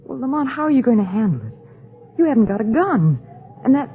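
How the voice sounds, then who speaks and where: A woman's voice: a little high, sing-song, a little fast. An actress playing a devoted, stubborn young woman in a 1930s radio drama, melodramatic and pleading, on a muffled old recording.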